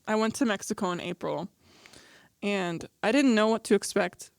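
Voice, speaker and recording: Animated American woman, dry sound. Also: medium pitch, normal speed, no room sound. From United States. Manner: animated, says "like" often. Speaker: podcast host. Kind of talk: casual podcast chat.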